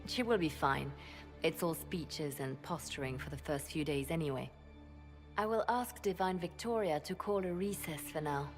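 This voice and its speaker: An actress voicing a composed woman spymaster who briefs her leader in measured, precise sentences in a game cutscene. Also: sits low, faint music behind, lightly acted. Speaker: advisor. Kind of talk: video game cutscene dialogue.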